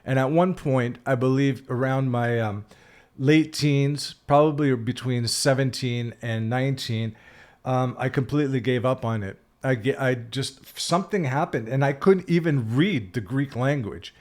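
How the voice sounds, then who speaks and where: A man's voice: mid-range voice, a little slow, darkish tone. A man, talking in a reflective solo video, rambling and correcting himself, candid and emphatic at times. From Canada. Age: 60s. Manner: rambling, with frequent self-corrections and fillers. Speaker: spiritual vlogger.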